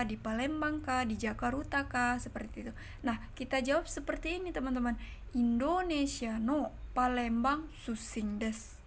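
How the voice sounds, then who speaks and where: A woman teaching a language lesson online. She repeats each example sentence slowly, explains it step by step and keeps turning to her viewers as friends. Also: on the high side, creaky, a little hiss or hum.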